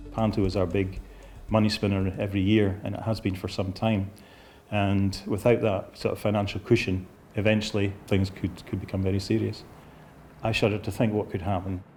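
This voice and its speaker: A man's voice: lowish voice, level tone, normal speed, darkish tone, faint music behind. A man from an amateur theatre group speaking earnestly in an interview.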